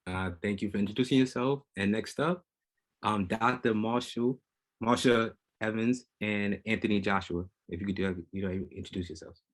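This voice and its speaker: Male panel host, somewhat low voice, hesitant delivery with frequent fillers. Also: normal speed. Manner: hesitant, full of ums. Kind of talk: hosting an online panel.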